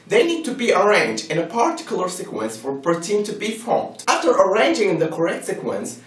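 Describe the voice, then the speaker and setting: Casting: man to play a composed believer in a dramatized debate; firm, explanatory, animated pitch, a little off-mic. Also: distant mic. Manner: didactic, question-and-answer reasoning. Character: composed, insistent religious believer patiently arguing for design. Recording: roomy echo, off-mic.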